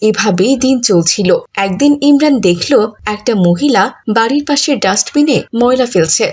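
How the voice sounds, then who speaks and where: A woman's voice: a little high, expressive, moderate pace, husky. A woman reading scripted narration for a cartoon story.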